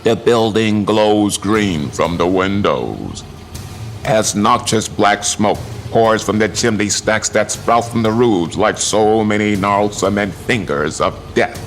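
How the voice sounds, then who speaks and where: A man playing a grim pulp horror narrator, giving ominous, deliberate narration for an audio drama. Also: on the low side, animated, soft background music.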